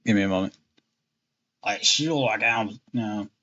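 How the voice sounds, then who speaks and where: A man's voice: fairly low pitch, unhurried, sharp tone, muffled recording. A man casually narrating a novel on a livestream, stumbling, rereading and dropping jokey asides. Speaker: streamer reading a novel aloud. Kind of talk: casual live storybook narration.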